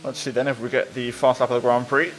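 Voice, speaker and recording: A man commentates casually over racing gameplay. His voice is medium in pitch, with faint music and engine sound behind it. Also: flat delivery, fast talker, poor audio. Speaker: gaming video creator.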